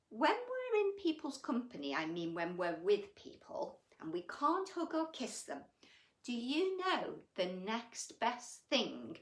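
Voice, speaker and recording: A woman's voice: medium pitch, roomy sound. A woman hosting a children's story time, telling stories and chatting to young listeners in a gushing, affectionate, exclamatory manner. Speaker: children's bedtime story reader and host. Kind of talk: animated storytelling and chat addressed to young children.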